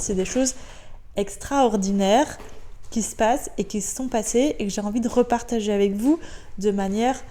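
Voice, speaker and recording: Mellow-voiced woman with a French accent. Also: medium pitch. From Belgium. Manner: chatty and upbeat, frequent filler sounds and repeated words. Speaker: podcast host. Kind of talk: casual solo podcast monologue. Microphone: podcast microphone.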